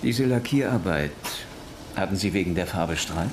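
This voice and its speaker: A calm, very low-voiced police detective. He questions politely but persistently, in a dry radio-drama manner. Loud noise runs behind his voice. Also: normal speed. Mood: calm, probing. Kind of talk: radio crime drama acting.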